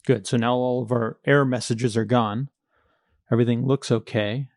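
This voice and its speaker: A man's voice: medium pitch, fairly even intonation, normal speed, darkish tone, no echo, clean studio sound. A man teaching coding in a screencast, explaining each step in a methodical, plain-spoken way. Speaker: coding tutorial instructor.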